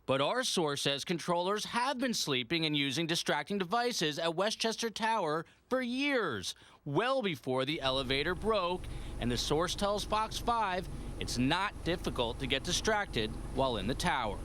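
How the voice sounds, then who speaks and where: Male American TV news reporter, high voice, lively melodic delivery, investigative script, slight background noise. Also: moderate pace.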